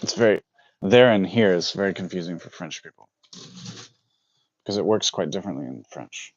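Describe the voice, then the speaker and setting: Low-voiced man chatting on a stream. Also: expressive, moderate pace, dry recording. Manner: rambling, self-correcting, reads out chat. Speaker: livestream host chatting with viewers. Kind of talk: casual livestream chat. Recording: dull lo-fi dry sound.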